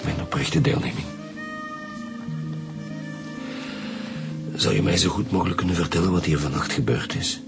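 A grave Flemish police inspector in a radio drama. The voice is very low and gravelly, steady and serious, with music behind it. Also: male voice, quick pace, heavily acted. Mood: gently sympathetic.

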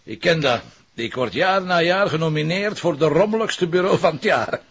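A man's voice: on the high side, lively intonation, moderate pace, fairly bright tone, breathy edge, lo-fi sound, full character voice. A man with a Flemish accent playing a wry, sarcastic, persuasive police commissioner in a crime drama. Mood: sarcastic pressure. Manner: sardonic, teasing, persuasive.

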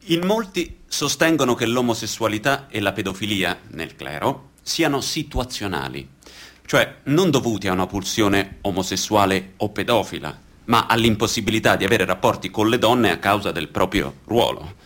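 A man's voice: fairly low pitch, lively intonation, moderate pace, fairly bright tone. An actor playing a sober male interviewer who speaks in formal, scripted phrases. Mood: sober, inquiring.

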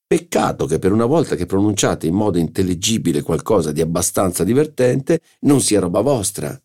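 A man's voice: low voice, expressive, brisk, mellow. A man voicing a sardonic middle-aged host who makes dry, sarcastic remarks.

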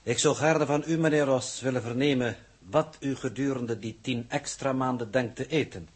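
A man acting a coldly precise, formal mission coordinator in 1960s radio drama, measured and pedantic in manner. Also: medium pitch, slightly rough, close to an everyday voice. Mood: dry, formal.

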